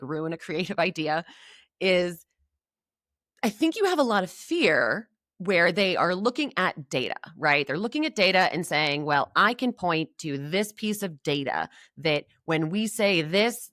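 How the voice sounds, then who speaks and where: Low-voiced woman, dry close sound. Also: fairly bright tone, no room sound. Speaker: podcast guest, marketing agency executive. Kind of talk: conversational podcast interview.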